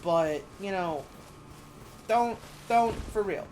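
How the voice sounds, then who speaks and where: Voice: medium pitch, slow pace, darkish tone. A young adult game streamer with a youthful-sounding voice, chatting casually in a rambling, frank, emphatic way and swearing freely. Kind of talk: casual chat while gaming. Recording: game music behind the voice. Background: video game music.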